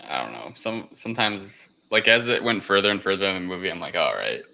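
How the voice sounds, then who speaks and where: A man's voice: sits low, sing-song, fairly quick, vocal fry, pushed and bright. A man on a podcast panel, casually chatting through his opinions on movies.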